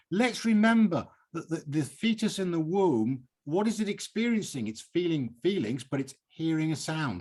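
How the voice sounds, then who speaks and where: British man, poetry host, husky voice, emphatic, expressive, theatrical delivery. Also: medium pitch. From Britain. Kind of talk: poetry recital and enthusiastic commentary.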